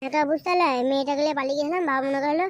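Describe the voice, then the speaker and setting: A man's voice: very high voice, fairly even intonation, rapid-fire, a little hiss or hum. A man voicing a brash, irritable young village man in a comedy cartoon, foul-mouthed. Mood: explaining. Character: brash young village man, runaway son back with his bride. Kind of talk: comedy cartoon dubbing in colloquial village speech.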